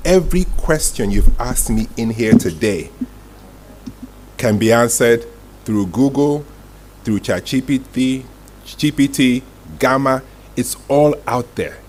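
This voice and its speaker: A man takes audience questions during a live talk. His pitch is average and his manner is direct, with some teasing. The recording has clear noise in the room behind him. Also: a little mellow, poor audio. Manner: direct, teasing, punctuates points with "right?". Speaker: masterclass speaker. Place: indoor event room with audience.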